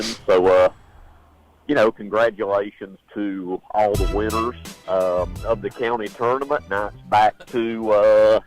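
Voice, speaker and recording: A man, a sports analyst on a call-in radio show, reporting local sports scores in a relaxed, rambling way with many uhs. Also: on the low side, low-quality recording.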